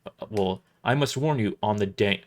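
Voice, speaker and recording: A man voicing a giant armored crusader in an audio drama, speaking in a formal, measured and blunt way. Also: lowish voice, natural voice. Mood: formal, concerned.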